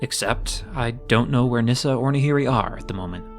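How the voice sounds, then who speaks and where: A man's voice: mid-range voice, fairly quick, music in the background, natural voice. A man playing an earnest, determined young mage in a dramatized audio story. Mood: earnest, restrained.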